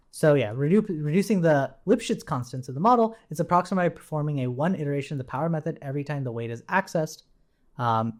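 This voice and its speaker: A man's voice: medium pitch, darkish tone. Man casually talking through code as he types. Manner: informal, thinking aloud while typing. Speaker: programming tutorial instructor. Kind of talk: casual live coding walkthrough with explanations.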